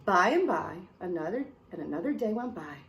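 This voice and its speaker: A woman's voice: on the low side, sing-song, fairly quick. A woman reading a children's picture book aloud, with playful asides to her young listeners.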